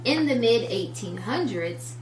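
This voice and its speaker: A woman hosting a children's story time, reading aloud with deliberate phrasing and speaking directly to young listeners. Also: fairly high pitch, lively intonation. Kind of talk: children's picture book read-aloud. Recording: roomy, distant mic, music underneath. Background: music.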